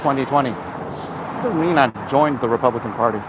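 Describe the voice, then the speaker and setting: A man chatting casually and giving opinions in a halting way, as if hosting a livestream. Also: medium pitch, background noise. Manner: halting, frequent throat clearing. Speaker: livestream host answering viewer comments.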